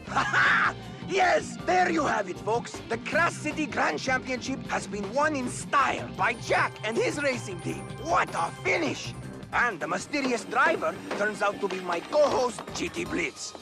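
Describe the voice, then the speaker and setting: An exuberant race commentator, smug and showy, with an animated voice. He calls it like a video game sports announcer over music. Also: very high voice, a little slow, creaky, full character voice. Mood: jubilant.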